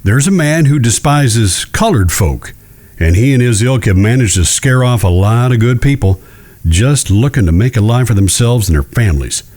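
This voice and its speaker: An actor playing a shrewd, courteous judge who speaks in a measured, genteel way in a western audio drama. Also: male voice, medium pitch, lively intonation, darkish tone, lightly acted. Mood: measured, persuasive. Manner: measured, genteel, deliberate with careful pauses. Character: shrewd, courteous Old West male judge.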